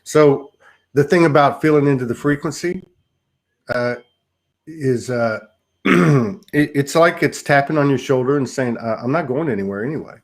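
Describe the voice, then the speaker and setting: A man's voice: medium pitch, normal speed. A man hosting a spiritual conversation, musing aloud in a rambling way with many repeats. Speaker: host.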